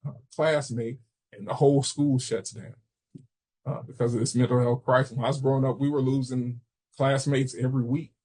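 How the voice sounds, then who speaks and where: American man presenting a training webinar, slightly husky. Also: mid-range voice, fairly even intonation, moderate pace, darkish tone. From Chicago, United States. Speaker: webinar presenter, substance misuse prevention trainer.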